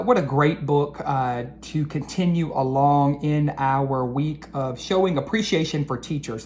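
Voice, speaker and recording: A woman educator talking to children after a storybook read-aloud, deliberate and earnest, addressing them directly. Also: deep voice, darkish tone, a little hiss or hum.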